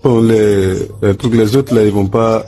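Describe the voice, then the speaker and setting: A man's voice: on the low side, fairly even intonation, a little slow, warm tone, a little hiss or hum. A man with a West African French accent asking questions hesitantly in an informal phone conversation. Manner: hesitant, murmuring acknowledgements. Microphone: telephone.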